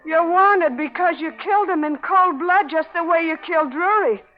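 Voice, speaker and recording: A woman's voice: extremely high pitch, moderate pace. An actress playing an alarmed, defiant woman in a 1940s radio crime thriller, with an emphatic melodramatic delivery. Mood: accusing, hard. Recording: muffled 1940s radio recording.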